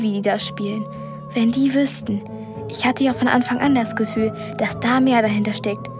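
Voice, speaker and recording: A woman plays a plucky girl of twelve in a radio play. The voice is youthful and fairly high, with a direct, curious, impatient way of talking. Music plays clearly behind it. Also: lightly acted. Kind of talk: radio play acting and narration.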